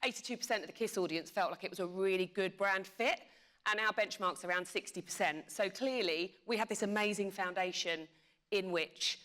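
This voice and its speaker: A woman answers on a discussion panel. Her voice is bright and crisp-edged at a middling pitch, and she explains her points in a measured, conversational way. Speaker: media company panelist.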